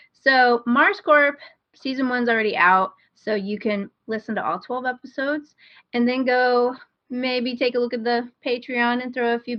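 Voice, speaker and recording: A woman's voice: on the high side, no room sound, dull audio. A woman co-hosting a podcast in a casual, chatty style. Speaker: podcast co-host.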